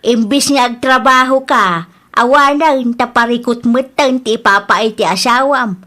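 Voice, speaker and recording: Lady acting as a worried nagging mom in a drama, high sharp voice, always pleading. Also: unhurried. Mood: scolding, concerned. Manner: anxious, nagging. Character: worried, pleading, scolding mother of the family. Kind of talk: Ilocano audio drama dialogue.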